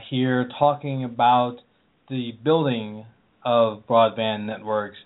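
Talk show host on a phone line. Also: male voice, mid-range voice. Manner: conversational, friendly, with occasional fillers. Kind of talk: hosting an interview.

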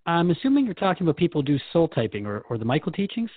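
A man's voice: a little high, very animated, very fast, breathy edge, no echo, narrow, tinny audio. A man playing a curious, deferential interviewer, speaking in a polite, slightly hesitant conversational way in a scripted podcast. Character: Jeff, curious, deferential male human interviewer.

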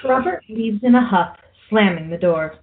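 Woman narrator with lively intonation, phone-like sound. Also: mid-range voice, moderate pace. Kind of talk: scripted narration of a radio play, reading stage directions. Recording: muffled, narrow phone-like audio.

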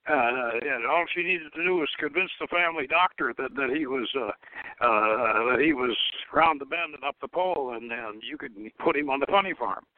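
An older man hosting a radio show, reviewing a book in a monologue that mixes scripted passages with halting asides. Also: mid-range voice, no room sound, phone-quality sound.

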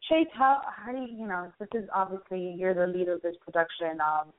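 Woman interviewer, low voice, phone-line sound. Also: fairly even intonation, a little fast. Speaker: interviewer, show host. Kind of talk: casual, conversational interview. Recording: tinny phone-line radio audio.

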